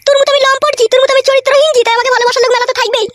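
A woman's voice: extremely high pitch, steady tone, racing, very noisy. A woman voicing a jealous, nagging village wife in a comic cartoon, accusing and coarse.

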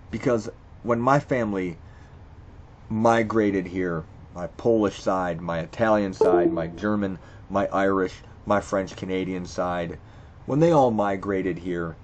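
A man's voice: low voice, slight background noise. A man reading quiz questions aloud and reacting to each one, blunt and profane, thinking aloud with stammered restarts. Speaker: video creator. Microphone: screen recording with voice-over.

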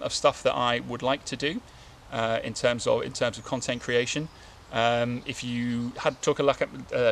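A man in his thirties chats to the camera from a camp under a tarp, with a gravelly edge to his mid-pitched voice. He talks in a relaxed, meandering way, full of ums and restarts. Faint outdoor hiss sits behind him. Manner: rambling, relaxed, many fillers and restarts. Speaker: bushcraft vlogger. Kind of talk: casual talk to camera. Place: outdoors in winter woodland, seated under a tarp. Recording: light outdoor background noise.

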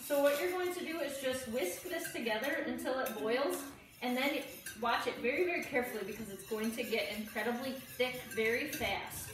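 A woman's voice: high-pitched, a little fast. A woman in her thirties giving casual step-by-step cooking instructions as a home cook in her kitchen. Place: home kitchen, indoors, dish clatter behind. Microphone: camera a few steps away. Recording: roomy, distant camera mic with clear noise.

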